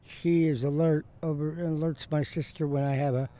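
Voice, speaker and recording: A man from Kansas City talking in a plain-spoken, halting way in an interview. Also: a little high, steady tone, mellow. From Kansas City, USA. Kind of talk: interview testimony.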